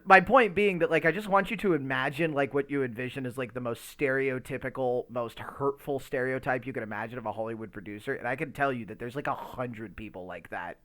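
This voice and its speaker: A man's voice: mid-range voice, fairly quick, hardly any pauses, a bit bright. A man co-hosting a podcast in a casual discussion, talking in a rambling, hesitant way with lots of filler words. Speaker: podcast co-host.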